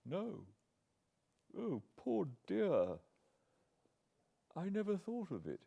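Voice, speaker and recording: A man playing a bereaved young English gentleman in an audiobook, courteous, earnest and full of feeling. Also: high-pitched, wildly swooping pitch, extremely slow, gravelly, voice pushed up, exaggerated voice. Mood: sorrowful surprise. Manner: earnest, polite, emotional.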